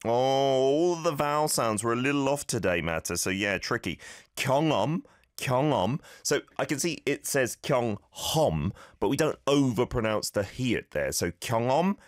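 A man hosting a radio show, coaching listeners through a phrase in a language he did not grow up speaking, relaxed and self-deprecating. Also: medium pitch, fairly quick, dry recording, clean studio sound. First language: not Korean. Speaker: radio show host teaching Korean. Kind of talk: casual radio lesson chat.

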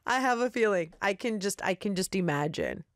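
A woman's voice: medium pitch, lively intonation, fairly quick, no room sound. A woman hosting a radio music show who chats casually, reads listener messages, and laughs often. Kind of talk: casual on-air chat and story reading.

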